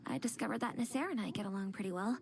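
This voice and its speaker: A man voicing a straightforward, easygoing townsman in a game, speaking briskly and in a friendly way. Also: high-pitched, gravelly, slight background noise.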